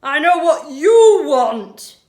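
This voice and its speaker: A woman's voice: high-pitched, sing-song, a little slow, a bit bright, pitched above the performer's own voice, heavily acted. A woman doing a cheery male burglar. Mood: playful. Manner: homely, chatty, good-natured. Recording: roomy echo.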